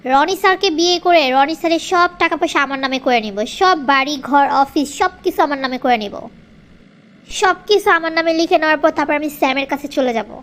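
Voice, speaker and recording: Actress as very high-pitched, coquettish scheming mistress. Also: female voice, quick pace, fairly bright tone. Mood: gleeful.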